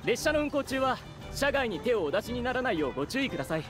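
A woman voicing a courteous, gentle fairytale train conductor in a game, giving formal, attentive announcements over prominent music. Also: a little high, big pitch swings, fast. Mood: polite, helpful. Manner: formal announcement delivery, polite. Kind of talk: Japanese video game voice acting.

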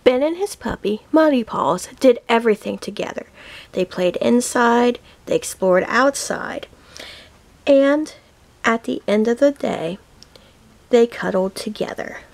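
Woman, storybook read-aloud for small kids; slightly high voice, unhurried, deliberate delivery. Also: creaky. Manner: slow, deliberate, expressive phrasing for young children. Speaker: teacher reading a children's picture book aloud.

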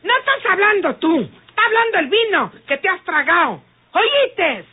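Very high-pitched, scolding Mexican woman. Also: sing-song, moderate pace. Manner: scolding, rural colloquial speech. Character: fierce, scolding, distressed woman named Teresa. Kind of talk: old Mexican radio soap acting. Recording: muffled, noisy old radio recording.

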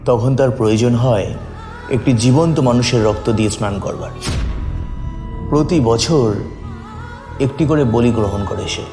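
A man's voice: medium pitch, a little slow, long pauses, music in the background. A man narrating a first-person audio story in a dramatic, scripted storytelling style. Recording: roomy, long reverb.

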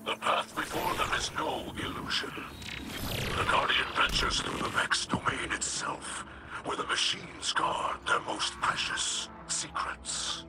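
An actor playing a solemn, devout, wary alien leader in a video game, with a breathy rasp and formal, reverent manner. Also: male voice, heavy background noise, natural voice. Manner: slow, measured, formal and reverent. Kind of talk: video game character acting.

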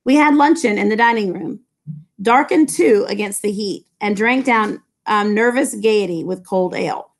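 A middle-aged woman, a high school English teacher reading a novel aloud to her class and breaking off into chatty asides full of "like" and "you know", recorded on a laptop webcam in a classroom. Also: normal speed. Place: classroom with low ceiling and fluorescent lights. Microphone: laptop webcam from below.

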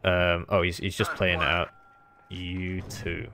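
A man's voice: low-pitched, monotone, slow, noisy background. A man giving casual, rambling and self-deprecating commentary on his own strategy game as he plays.

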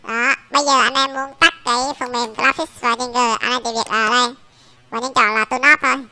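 A man voicing a small baby pig through a pitch-shifting voice changer, giving an informal software tutorial. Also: level tone, brisk, clear, resonant tone, heavily acted. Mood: instructive. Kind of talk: informal screen-recorded tutorial.

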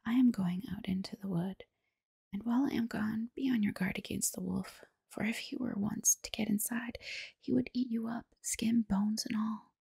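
A fond old mother goat from a storybook, whispered by a woman, gently cautioning her young. The voice is breathy and full of fry, very close and dry. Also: on the high side, fairly even intonation, normal speed, dry recording, exaggerated voice. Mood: gentle warning. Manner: gentle, careful warning.

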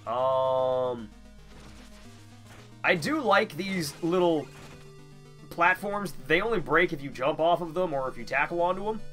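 A man's voice: fairly high pitch, animated, unhurried, pauses often, a bit bright, soft background music. A young man with a stuffy nose giving casual, rambling, self-deprecating gameplay commentary. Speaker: gamer, let's player.

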